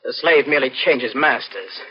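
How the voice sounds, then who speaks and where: An actor playing a tormented, desperate young murderer in melodramatic 1940s radio suspense style, with a stammering, fervent delivery. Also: male voice, mid-range voice, animated, normal speed, breathy edge. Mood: menacing. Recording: muffled, noisy old radio broadcast.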